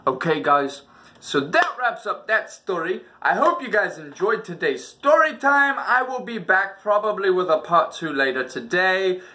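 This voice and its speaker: A man's voice: high voice, sing-song, measured pace, sharp tone. A man hosting a storytime, telling personal tales in a casual, dramatic way and acting out the moments. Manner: dramatic, casual, acts out dialogue and sounds. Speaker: storytelling channel host.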